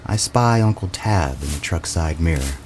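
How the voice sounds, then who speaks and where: A man narrating a scripted first-person story in a dramatic, brooding, deliberate manner. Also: sits low, lively intonation, a little mellow, a little hiss or hum.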